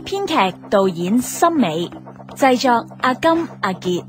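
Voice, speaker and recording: A woman's voice: fairly high pitch, big pitch swings, slow pace, faint music behind. A woman with a Hong Kong Cantonese accent reading scripted radio drama credits as an announcer.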